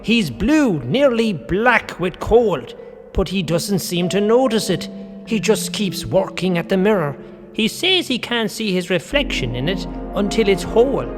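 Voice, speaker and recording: A man's voice: high-pitched, big pitch swings, a little slow. A man playing a fretful, devoted servant of a queen in a children's audio drama, anxious and exclaiming. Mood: fretful, worried. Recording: reverberant hall, music behind.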